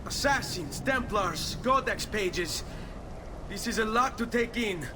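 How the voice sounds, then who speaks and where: An eager young Italian nobleman turned apprentice assassin in a video game drama. The voice is fairly high for a man, earnest and intense, with an Italian accent. Noticeable noise runs behind it. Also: lively intonation, slow. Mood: puzzled, intent. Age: young adult.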